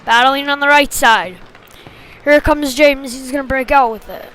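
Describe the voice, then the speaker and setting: A woman calling a youth hockey game live in short, clipped phrases, getting excitable on big plays. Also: sits high, normal speed, rough recording. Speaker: play-by-play hockey commentator. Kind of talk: live sports commentary.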